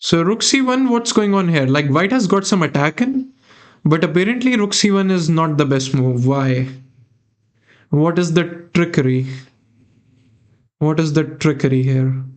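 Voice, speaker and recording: A man's voice: on the high side, animated, a little slow. Young Indian man streaming chess. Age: young adult. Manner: thinking aloud, chatting with viewers. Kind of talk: live chess commentary. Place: small room indoors.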